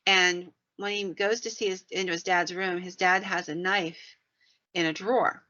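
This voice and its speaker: A woman's voice: on the low side, fairly even intonation, normal speed, bright, forceful tone. A woman podcast host telling paranormal stories in a conversational, folksy way with rambling asides. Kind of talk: conversational storytelling about the paranormal. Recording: dull, lo-fi sound.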